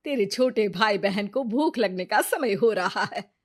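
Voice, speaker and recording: A woman's voice: mid-range voice, lively intonation, a little slow. A woman playing a plaintive, nagging mother of a poor household in a cartoon family drama. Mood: worried. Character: worried, scheming mother of a poor household, turning spiteful grandmother. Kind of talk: Hindi cartoon moral-story dubbing.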